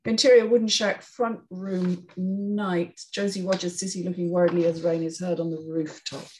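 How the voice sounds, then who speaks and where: A woman's voice: fairly low pitch, little pitch movement, moderate pace, darkish tone, a little hiss or hum, rough recording. A woman narrating and hosting a script reading, reading out screenplay stage directions. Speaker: narrator and panel host.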